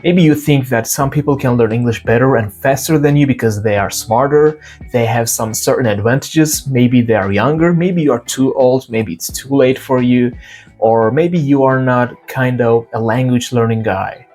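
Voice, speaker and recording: A man's voice: mid-range voice, moderate pace, darkish tone, faint music behind. A young man from Turkey with a Turkish accent and Turkish as his first language, hosting an English learning channel and talking earnestly to camera, acting out stories with quoted dialogue. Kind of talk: direct-to-camera motivational talk.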